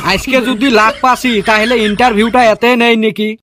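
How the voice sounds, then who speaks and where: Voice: medium pitch, fairly even intonation, moderate pace, lightly acted. An actor as a deferential cartoon reporter, noisy track. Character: deferential, eager cartoon media interviewer. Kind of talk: comic cartoon interview.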